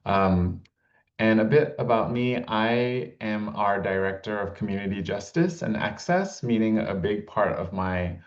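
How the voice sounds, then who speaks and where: Low-voiced attorney on dull audio. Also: male voice, lively intonation. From Malaysia. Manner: measured, hesitant, many fillers. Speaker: attorney, guest speaker.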